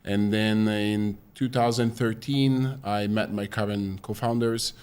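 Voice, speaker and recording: A man from Italy, a guest on a podcast in a studio, explaining his story in a relaxed way with a few fillers. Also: lowish voice, a little slow, clean tone. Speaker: guest, business founder. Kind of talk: interview answers.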